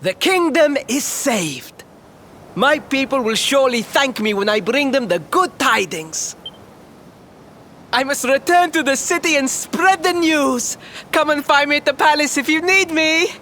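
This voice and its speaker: An actor playing a proud, eager young prince, speaking formally and earnestly in a video game cutscene. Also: male voice, very high voice, lively intonation, a little slow, bright, forceful tone, slight background noise, exaggerated voice. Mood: jubilant.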